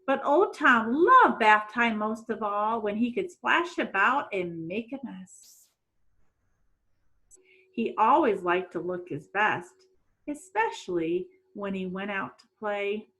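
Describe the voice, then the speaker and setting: A middle-aged woman, a teacher doing an expressive storytime for children and putting questions to young listeners. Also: medium pitch, slow, low-quality recording. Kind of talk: read-aloud storytime for children. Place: small room at home.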